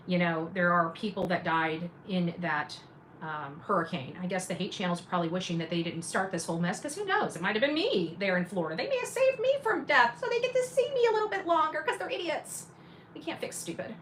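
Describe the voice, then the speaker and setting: Emphatic woman commentator with clear background noise. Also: lowish voice, fairly bright tone, rough recording. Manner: emphatic, repeats phrases, sarcastic asides. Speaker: independent journalist and livestream host. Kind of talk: casual livestream commentary to viewers.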